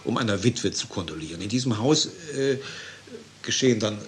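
A man with a fairly low voice gives an explanation. He phrases things carefully with small hesitations, and a faint noise sits behind him. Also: expressive, a little fast. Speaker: interviewed commentator. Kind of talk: explanatory talk.

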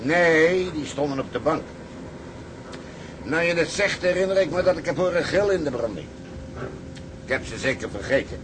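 A man's voice: fairly high pitch, animated, normal speed, long pauses, lightly acted. Actor as a callous seaman in noisy surroundings. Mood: offhand, callous. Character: hard, callous male seaman, unrepentant adventurer. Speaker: actor in a Dutch maritime drama. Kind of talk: dramatic seafaring acting.

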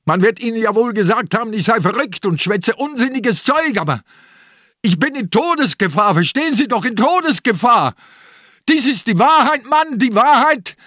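An older actor playing an old, ailing police inspector in a radio drama, urgent and imploring, with strained speech. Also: male voice, very high voice, animated, normal speed, sharp tone, no echo, clean studio sound, full character voice. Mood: desperate pleading. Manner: imploring, insistent, commanding.

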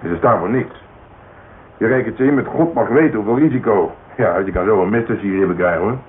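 An actor playing a jaded, blunt, middle-aged old-school police detective in a radio drama, grumbling and needling. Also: male voice, on the low side, quick pace, natural voice. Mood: teasing, probing. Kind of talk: naturalistic radio drama dialogue. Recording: tinny, noisy old radio recording.

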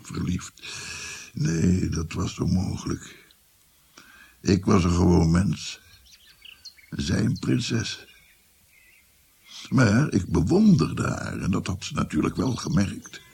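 An actor playing a melancholy old doctor who tells of his past in a radio drama, with a gravelly, husky voice. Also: low voice, animated, slow, pauses often, a little mellow, heavily acted. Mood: wistful.

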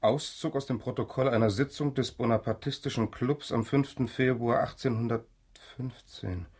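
A man's voice: medium pitch, normal speed, darkish tone, husky, natural voice. An actor playing a grave, formal young man seeking the truth about his murdered father in a German radio drama. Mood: grave, measured.

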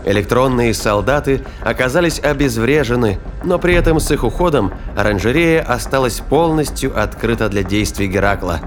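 A man's voice: medium pitch, animated, normal speed, hardly any pauses. A man giving scripted, dramatic narration for a science fiction audio drama.

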